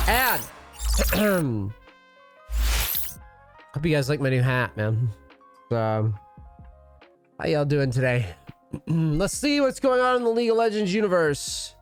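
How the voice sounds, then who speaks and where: A man in his thirties giving casual, rambling commentary as a gaming coach, full of slang and swearing. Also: high-pitched. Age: 30s. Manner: rambling and profane, loose slang. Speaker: League of Legends coach and streamer.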